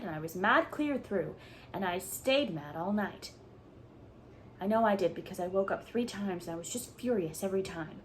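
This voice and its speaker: A woman's voice: mid-range voice, a little mellow, a little hiss or hum, lightly acted, rough recording. A woman voicing a talkative, imaginative orphan girl who pleads in a theatrical, breathless way. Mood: earnest.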